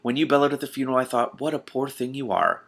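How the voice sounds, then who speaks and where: A man's voice: medium pitch, lively intonation, fast talker, gravelly, voice pushed up. A man voicing a snobbish teenage girl from a storybook, prim, condescending and boastful. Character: snobbish, boastful older sister, a girl in her teens.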